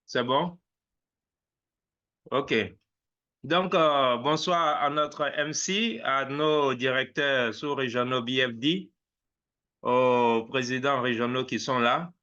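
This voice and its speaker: Bright, forceful man's formal address. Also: medium pitch. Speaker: reverend giving opening address. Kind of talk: formal spoken address to an online gathering. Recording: slightly dull online call audio.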